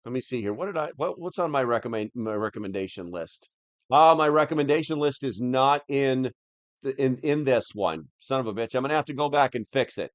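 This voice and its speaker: A man's voice: medium pitch, dry recording. A man hosting a live stream, chatting casually as he reads and answers comments in a rambling, plain-spoken, slightly grumbly way.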